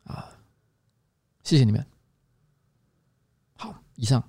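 Animated Taiwanese man, close dry sound. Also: mid-range voice, dry recording. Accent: Taiwanese Mandarin. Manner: teasing, mock-scolding, quick to laugh. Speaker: podcast host. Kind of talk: casual comedic podcast chat.

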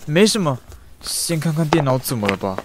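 A man's voice: on the high side, big pitch swings, moderate pace, very noisy. An actor playing a reserved, teasing young male design student in an audio drama, with a Taiwanese accent. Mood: dry, teasing. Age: young adult. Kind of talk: audio drama acting with narration.

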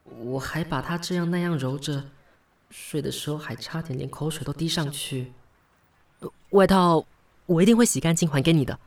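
A man's voice: a little high, moderate pace. An actor playing a polite, flustered, sentimental young man in a Taiwanese Mandarin radio drama, with sighs and hesitant interjections. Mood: apologetic, flustered. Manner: expressive, sighing, hesitant interjections. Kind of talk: Mandarin radio drama acting with inner monologue. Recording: studio voice over background music. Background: background music.

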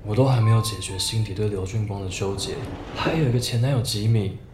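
A man acting a low-voiced, exasperated young office guy. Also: fast talker, background noise, close to an everyday voice. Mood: wry, confiding. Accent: Taiwanese Mandarin. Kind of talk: radio drama acting with inner-monologue narration. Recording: roomy sound with guitar music behind.

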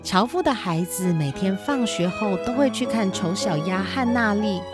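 A woman's voice: medium pitch, expressive, normal speed. A woman reading scripted narration for a children's storybook tale. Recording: music behind the voice.

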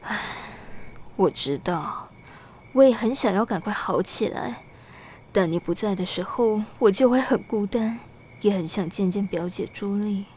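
A woman's voice: medium pitch, unhurried, a little mellow, a little hiss or hum, close to an everyday voice. A woman acting an anxious, melancholy young wife shut away in a room, in an audio drama. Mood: dejected, pleading.

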